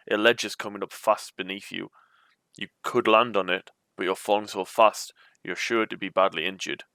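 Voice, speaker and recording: A man's voice: fairly low pitch, creaky, fairly bright tone, clean tone, no echo, studio quality. A man reading an adventure storybook aloud as its narrator. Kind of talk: reading a choose-your-own-adventure book aloud.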